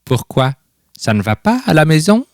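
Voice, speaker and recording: A male narrator acts out a kindly old grandfather from a children's storybook. His pitch lifts well above a low speaking voice as he teases and reassures with affection. The sound is close and dry, with music behind. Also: big pitch swings, moderate pace, dry recording. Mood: curious, teasing. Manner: teasing, questioning, affectionate.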